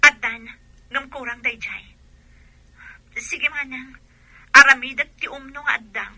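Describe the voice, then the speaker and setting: A dutiful grown daughter in a Filipino radio drama, with a Filipino accent. Her voice is high and intensely sharp, with a husky breathiness, and she delivers everything with earnest, melodramatic emotion. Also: a little slow, exaggerated voice, rough recording. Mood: firm, instructing.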